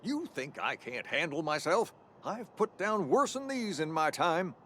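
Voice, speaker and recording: An actor playing a cantankerous, blustering old shopkeeper in a video game. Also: male voice, fairly high pitch, very animated, a little slow, fairly bright tone, slight background noise. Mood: gruff, boastful. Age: older. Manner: folksy, blustering. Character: cantankerous old shopkeeper man, brash and gung-ho. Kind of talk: video game character acting.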